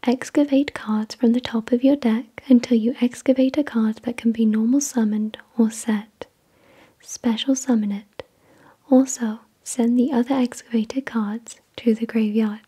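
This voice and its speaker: A woman whispering softly and breathily in ASMR style, reading aloud in a deliberate, measured way. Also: fairly high pitch, fairly even intonation, husky. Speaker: ASMR video creator and host. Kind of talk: soft-spoken ASMR, reading card text aloud.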